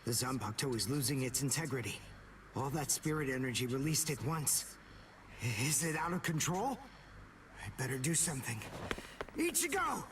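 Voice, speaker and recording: A man's voice: mid-range voice, sing-song, normal speed, background noise, close to an everyday voice. Intense, brainy teen archer played by a man, echoey. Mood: alarmed, reasoning. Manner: analytical, dramatic. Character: brainy, intense teenage male spirit archer. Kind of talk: anime game dub acting, analytical inner monologue. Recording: echoey, with game music behind. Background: game music.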